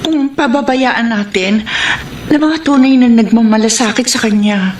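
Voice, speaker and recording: An actress playing a proud, hurt Filipino elder sister in a radio romance drama. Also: female voice, a little high, moderate pace, a little mellow, reverberant, a little hiss or hum, natural voice. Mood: remorseful.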